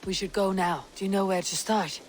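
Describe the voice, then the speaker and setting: An actress playing a tough, proud female Viking warrior in a video game, deliberate and solemn, with a husky, gravelly voice. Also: mid-range voice, steady tone, close to an everyday voice. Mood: solemn, curious. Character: tough, proud Viking woman warrior, loyal to her clan. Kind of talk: video game cutscene acting. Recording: noisy outdoor game ambience.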